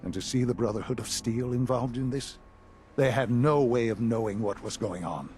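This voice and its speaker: An actor playing a calm, calculating older male leader of a science institute in a video game, speaking in a measured, formal way. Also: medium pitch, faint music behind, close to an everyday voice. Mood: grave, stern. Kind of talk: video game cutscene acting.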